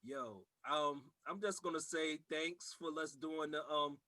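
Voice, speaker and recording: A man co-hosting a casual online chat, speaking in a slow, drawn-out way with friendly slang. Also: a little high, steady tone, sharp tone. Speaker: co-host.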